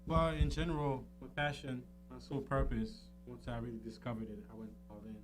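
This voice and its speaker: A man's voice: mid-range voice, flat delivery, fairly quick. A man in his thirties speaking informally as an interview guest, explaining what he offers with frequent "you know" and "um". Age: 30s. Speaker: interview guest, entrepreneur and financial educator. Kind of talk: casual talk, explaining his services. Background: plucked guitar music.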